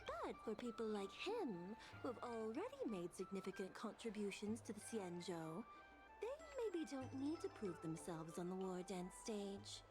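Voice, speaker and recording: An actress playing a polite, formal, polished official secretary in an anime game. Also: female voice, medium pitch, very animated, normal speed, lightly acted. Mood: courteous. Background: game music.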